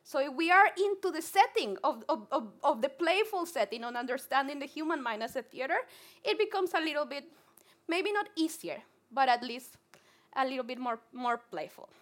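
A young woman from Colombia with a Colombian Spanish accent, a psychologist giving an earnest, animated public talk with frequent restarts. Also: sits high, normal speed. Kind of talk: public talk to a live audience.

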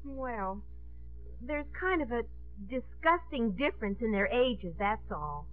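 A woman's voice: on the high side, expressive, slow. A woman acting a dramatic, self-absorbed teenage girl in love in a 1950s radio comedy. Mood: sulky, catty. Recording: narrow-band 1950s radio, music behind.

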